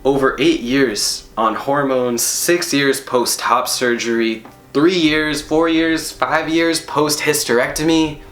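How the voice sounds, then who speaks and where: Animated man telling a story, roomy echo. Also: mid-range voice, takes it slowly, hardly any pauses. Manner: animated, emphatic, laughs while telling. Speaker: storyteller on stage. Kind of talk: personal storytelling talk.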